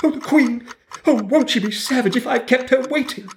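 A woman's voice: sits high, moderate pace, slight background noise, pitched above the performer's own voice. A woman voicing a fretful male rabbit who mutters anxiously to himself in a hurry. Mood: panicked.

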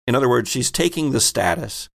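Gravelly man running a tabletop game. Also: mid-range voice, animated, fairly quick. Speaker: host and game master. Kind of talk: narrating a tabletop roleplaying game.

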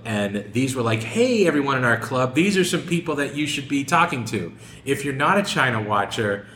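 A man's voice: medium pitch, sharp tone. A man hosting a casual group discussion in an informal, conversational way. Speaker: host of a podcast discussion group. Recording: roomy echo, faint background noise.

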